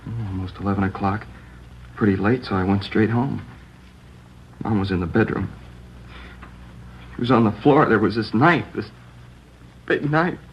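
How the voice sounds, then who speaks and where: An actor playing a shaken teenage boy whose mother has just died, halting and upset, his voice rising at the worst moments in an old radio drama. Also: on the low side, slight background noise, lightly acted. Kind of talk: 1950s radio drama acting. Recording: dull lo-fi old radio broadcast.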